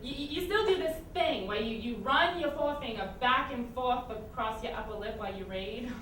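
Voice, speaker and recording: A woman's voice: fairly high pitch, a little fast, a bit bright, lightly acted. An actress playing a guarded, neurotic therapy patient in a comic stage play, flustered and stammering as she talks. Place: stage performance at a college, distant microphone. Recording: echoey hall from far off, noticeable noise.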